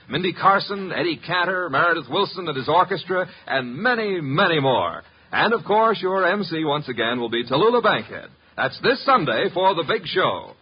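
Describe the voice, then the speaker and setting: A man with a husky voice announcing in an emphatic, grandly formal 1950s American radio style. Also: fairly high pitch. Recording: muffled 1950s radio broadcast recording.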